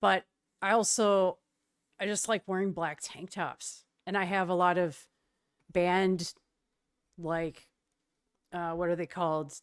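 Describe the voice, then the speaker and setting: A woman's voice: lowish voice, fairly even intonation, slow, long pauses, clear, resonant tone, no room sound, studio quality. A young woman from Wisconsin with a slight Wisconsin accent, chatting casually with her stream's chat and laughing often.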